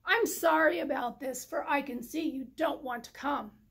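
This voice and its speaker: A kindly moose husband from a picture book , acted out by a woman. He is earnest, polite and set on his task. The voice sits high and calls out with emphasis. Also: moderate pace, a bit bright. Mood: polite, pleased.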